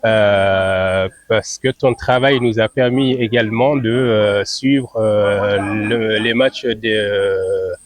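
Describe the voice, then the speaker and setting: A man who grew up in France, answering interview questions as a football club president. He speaks in a measured way, pausing often on "euh". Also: on the low side, fairly even intonation. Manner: measured, many hesitations on "euh".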